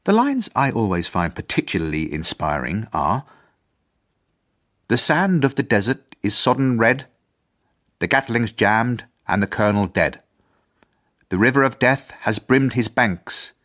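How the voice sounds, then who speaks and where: Deep-voiced, earnest British headmaster. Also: male voice, lively intonation, measured pace, fairly bright tone. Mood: stirring, declamatory. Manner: formal, earnest, self-important. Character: earnest, idealistic, fussy male school headmaster. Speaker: actor. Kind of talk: radio drama journal narration.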